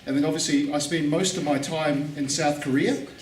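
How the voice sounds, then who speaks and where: A man giving a relaxed conference talk, repeating words for emphasis, with pauses for an interpreter. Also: on the high side. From New Zealand. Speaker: guest speaker. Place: conference hall. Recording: echoey hall, distant mic, faint noise.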